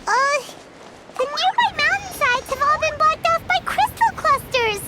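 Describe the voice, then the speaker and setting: A woman voicing a tiny, excitable, childlike girl companion in a video game. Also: extremely high pitch, measured pace, heavy background noise. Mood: puzzled.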